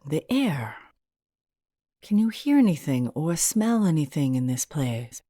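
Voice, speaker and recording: A woman leading a guided meditation as a hypnotherapist, in a soothing, gentle and unhurried manner. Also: sits low, big pitch swings, dry recording, studio quality.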